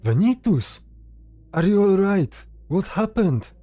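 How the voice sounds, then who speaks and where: A man's voice: on the low side, animated, slow pace, warm tone, no room sound. A man voicing a concerned, earnest temple brother in a fantasy audio drama. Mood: alarmed.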